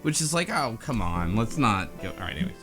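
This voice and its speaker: A woman's voice: rumbling low, big pitch swings, quick pace, a bit bright, soft background music. A woman co-hosting a casual podcast, tossing in wry asides and groping for her words. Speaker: podcast co-host. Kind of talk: casual joking chat.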